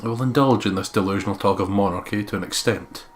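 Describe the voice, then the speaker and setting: A man's voice: fairly low pitch, quick pace, soft background music. A man hosting a let's play, reading visual novel narration aloud and breaking off for joking asides.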